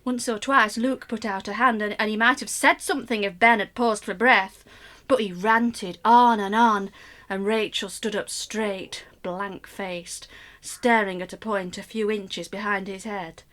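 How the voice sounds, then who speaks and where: An actress playing an anxious middle-aged mother, telling her story in an expressive, wry first-person radio play monologue. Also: mid-range voice, measured pace, natural voice. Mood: exasperated, wry. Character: anxious middle-aged mother recounting a troubled family holiday.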